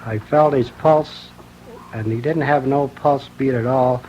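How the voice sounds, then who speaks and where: A man's voice: mid-range voice, fairly even intonation, measured pace, mellow, slight background noise. A middle-aged American man giving a plain-spoken eyewitness statement. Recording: dull old radio recording.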